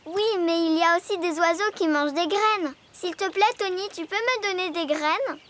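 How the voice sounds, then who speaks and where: High-pitched little girl elephant. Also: normal speed, fairly bright tone, a little hiss or hum. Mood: playful, eager. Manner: childlike, earnest and playful. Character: cheerful, imaginative young girl elephant, stubbornly playful. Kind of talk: children's cartoon voice acting. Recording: music under the voice.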